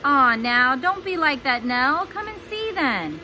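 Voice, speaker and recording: A woman's voice: sits high, big pitch swings, measured pace, music in the background, voice pushed up. A woman voicing a kindly old fisherman in a children's storybook, talking in a folksy, colloquial way. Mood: coaxing. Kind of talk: children's storybook read-aloud.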